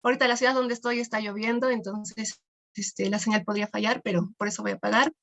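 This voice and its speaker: A woman from Peru with a Peruvian accent, giving an academic research presentation over a video call, halting, with many "este" fillers and tag questions. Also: medium pitch, fairly even intonation, fairly bright tone. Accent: Peruvian Spanish.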